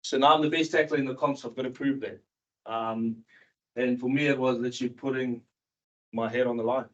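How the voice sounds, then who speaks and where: A man from South Africa who moved to New Zealand in his teens, giving a candid, informal personal talk to an audience in a halting, repetitive way. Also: mid-range voice, fairly even intonation. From South Africa, moved to New Zealand in his early teens. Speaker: seminar speaker, cricket coach and former professional cricketer. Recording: dull, muffled seminar recording.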